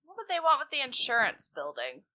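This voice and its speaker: A woman talks as a player in a tabletop game. Her voice sits a little high and sounds bright and clear-cut. Her delivery is casual and conversational. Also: huge pitch swings, brisk. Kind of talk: casual chat.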